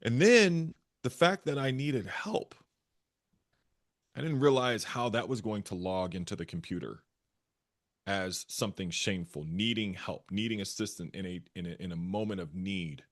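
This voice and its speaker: A man with a bass voice, a therapist and guest, earnestly telling his own story and often repeating phrases as he searches for words. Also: moderate pace. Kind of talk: candid personal storytelling.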